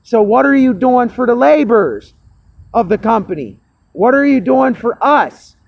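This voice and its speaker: A man with a hoarse, cracking voice vents to his camera, fired up, repeating himself and swearing freely. His voice sits high and turns gravelly. The recording is slightly muffled, with a faint hiss underneath. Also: animated, moderate pace, no echo. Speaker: vlogger. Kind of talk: angry personal rant to camera.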